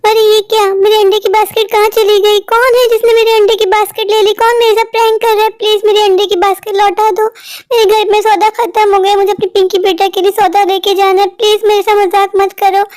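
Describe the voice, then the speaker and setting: A woman's voice: extremely high pitch, flat delivery, brisk, a little mellow. A woman voicing an anxious, plaintive poor father who sells eggs in a cartoon story. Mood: pleading. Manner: plaintive, imploring. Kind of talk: Hindi cartoon story acting.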